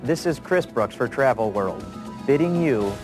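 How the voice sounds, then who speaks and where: A man anchors a scripted television magazine programme. His voice sits at a medium pitch for a male presenter. Faint music plays underneath him. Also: animated, unhurried. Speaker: show anchor.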